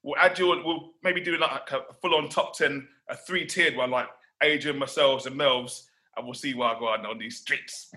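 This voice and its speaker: Guy podcast co-host, bright punchy voice, mid pitch, slangy chat. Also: moderate pace, few pauses. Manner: informal, slangy. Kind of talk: casual banter.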